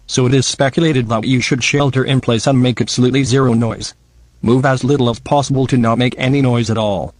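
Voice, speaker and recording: A computer-generated man's voice reads out an emergency bulletin from a script. The pitch is average for a man. It sounds close and dry, like an announcer with no room around him. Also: no echo. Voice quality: synthetic text-to-speech voice.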